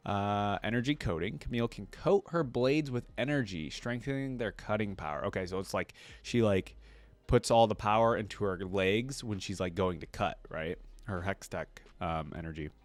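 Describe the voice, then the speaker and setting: A man doing casual reaction commentary, reading aloud and breaking off to think out loud and check in with listeners. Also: lowish voice, normal speed, dry recording. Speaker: video creator reacting to game character lore.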